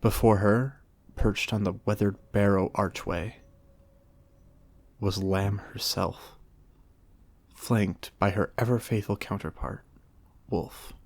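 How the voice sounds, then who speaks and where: Low, gravelly man reading a story. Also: fairly even intonation, takes it slowly, long pauses. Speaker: ASMR audio storyteller. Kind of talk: storytime ASMR narration.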